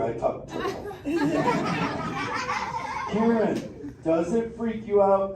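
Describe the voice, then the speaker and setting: A mellow, laid-back surfer sea turtle drawls slang in a high, swooping voice, slow and easy. It comes from far off in an echoey theater with steady room noise behind it. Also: male voice, heavily acted. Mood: joking. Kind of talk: live interactive theater show. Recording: echoey theater heard from afar with ambient noise.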